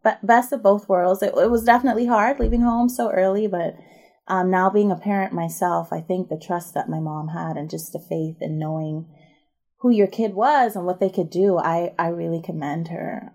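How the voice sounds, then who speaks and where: Articulate woman in her 30s, raised in the Bahamas; relaxed, dark timbre, slightly low pitch. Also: normal speed. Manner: articulate, upbeat, explanatory. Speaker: podcast guest, MBA student and barrister. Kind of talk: conversational interview.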